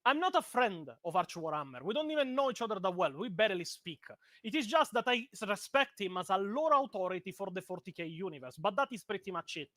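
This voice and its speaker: Blunt Italian man, high voice. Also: fast, few pauses, no echo, studio quality. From Italy. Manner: blunt, profane, animated. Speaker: guest commentator. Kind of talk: heated casual video-call chat. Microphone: video call.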